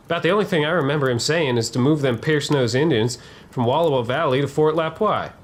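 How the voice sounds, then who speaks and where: A man's voice: fairly high pitch, quick pace, lightly acted. A man playing an obedient, unsympathetic cavalry private in a historical drama, talking in dismissive, folksy frontier phrases. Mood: wry.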